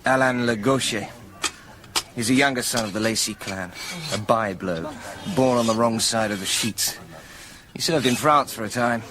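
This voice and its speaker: A man's voice: medium pitch, normal speed, natural voice. A man playing a resolute, pragmatic medieval military commander in a radio drama, terse and determined. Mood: sober planning. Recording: clear background noise, outdoor ambience.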